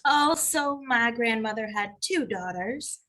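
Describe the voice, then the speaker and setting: Animated comedy client: earnest, bereaved daughter with a fairly high voice, read by a woman. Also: a little slow. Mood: matter-of-fact.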